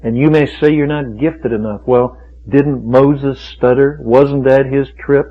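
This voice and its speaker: A man's voice: medium pitch, steady tone, measured pace, husky. A man preaching a fiery sermon, emphatic and rhetorical, driving points home with repeated phrases. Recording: tinny phone-like radio recording.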